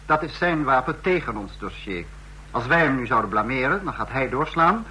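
An actor in a Dutch radio play voicing a commanding regime leader who corrects others in a measured, didactic way. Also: male voice, medium pitch, lively intonation, bright, forceful tone, rough recording. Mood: cool authority. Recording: faint hum, old radio recording.

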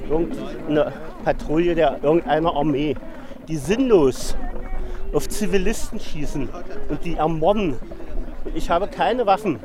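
Higher-pitched man arguing emphatically. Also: a little slow, a crowd in the background. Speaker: protester giving an interview. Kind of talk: spontaneous political argument.

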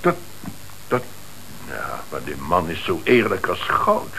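An earnest company director in a Dutch radio play, agitated and prone to halting repetitions. He speaks with a Netherlands Dutch accent on an old, faintly hissy recording. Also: sits low, wildly swooping pitch, creaky, pushed and bright, exaggerated voice. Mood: flustered, shocked. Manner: halting, repeats words when rattled.

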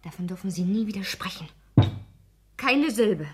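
An actress playing a guarded, gently reproving housewife in a 1960s German radio play, reserved and soothing. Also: female voice, medium pitch, lively intonation, moderate pace, noisy background, natural voice. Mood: hushed, conciliatory.

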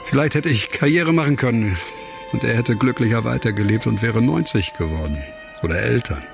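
A man acting a grave, bitter, disgraced former doctor in a radio crime drama, speaking reflectively in sparse, halting phrases. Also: medium pitch, vocal fry, over music, close to an everyday voice. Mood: somber, reflective.

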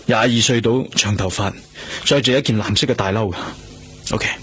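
一位男演員用香港粵語，喺廣播劇入面演一個悲痛而執著、講嘢沉鬱又急切嘅男警探。. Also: male voice, lowish voice, a little fast, a little hiss or hum, close to an everyday voice. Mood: urgent. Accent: Hong Kong Cantonese. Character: grieving, obsessed male police detective, intense and anguished. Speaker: lead actor and narrator. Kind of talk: Cantonese radio drama acting with brooding narration. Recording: loud music under the voice. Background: dramatic music.

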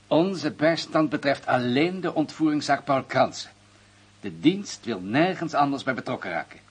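An actor playing a guarded, bureaucratic intelligence official in a radio drama, speaking formally with a husky voice. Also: male voice, medium pitch, lively intonation, unhurried. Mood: businesslike, guarded. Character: guarded, bureaucratic male senior intelligence official, covering himself. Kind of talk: Dutch radio drama acting.

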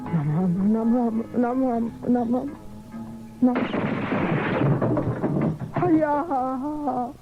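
An actor playing a poor, hungry Chinese man with drawn-out, comically exaggerated lamenting in mock Chinese-accented Japanese. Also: very high voice, exaggerated voice. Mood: frantic chanting. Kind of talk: 1970s radio drama comedy acting. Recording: muffled 1970s radio, loud music behind.

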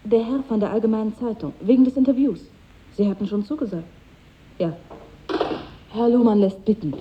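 An actress playing a harried, officious secretary of a company boss, brisk and impatient, in a 1950s radio play. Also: female voice, fairly high pitch, natural voice. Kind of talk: 1950s radio drama acting. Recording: muffled, noisy old radio recording.

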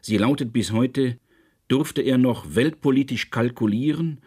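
A male narrator with a husky, medium-pitched voice reads a scripted documentary. His delivery is factual and measured. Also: a little mellow.

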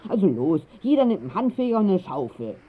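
An actress playing a spirited, bossy working-class woman with a Berlin accent, brisk and scolding, in a radio drama. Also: fairly low pitch, big pitch swings, husky. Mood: bossy, urging. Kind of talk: 1960s German radio drama acting. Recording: muffled band-limited old radio recording.

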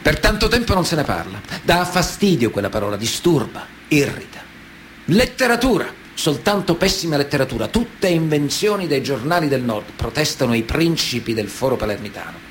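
An actor playing a stern, ironic chronicler, narrating a radio drama in clipped phrases with dramatic pauses. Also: male voice, a little high, very animated, a little hiss or hum.

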